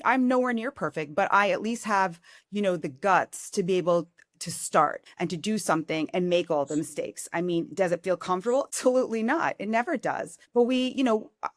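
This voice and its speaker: A woman's voice: mid-range voice, normal speed, hardly any pauses, dry recording, clean studio sound. A woman in her forties hosting an interview, talking in an effusive way and often restarting her sentences. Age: 40s. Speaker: podcast host. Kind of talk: casual interview conversation.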